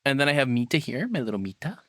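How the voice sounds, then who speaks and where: Animated man hosting a casual livestream. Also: medium pitch, quick pace, no room sound, clean studio sound. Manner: rambling, self-deprecating. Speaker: livestream host. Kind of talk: casual livestream chat to viewers. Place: own room. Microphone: processed streaming microphone, close and dry.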